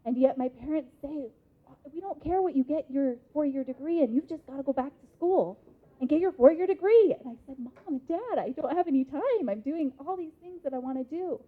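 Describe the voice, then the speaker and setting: Motivational speaker, female, 30s, American, slightly high pitch, deliberate and emphatic, muffled phone-like hall sound. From Idaho, United States. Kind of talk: interactive motivational talk to a live audience. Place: conference hall. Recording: muffled lo-fi live hall recording.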